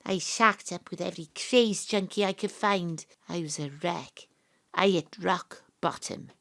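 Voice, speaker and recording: A woman's voice: fairly low pitch, a little slow, fairly bright tone. An actress playing an earnest, troubled young Welsh woman who becomes a rugby captain, in an audio drama. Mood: haunted, remorseful. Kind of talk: audio drama monologue and dialogue.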